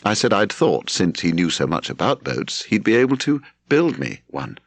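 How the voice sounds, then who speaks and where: A man with a British English accent reading a literary memoir as an audiobook narrator, in a measured, expressive storytelling manner. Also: on the low side, vocal fry, dry recording.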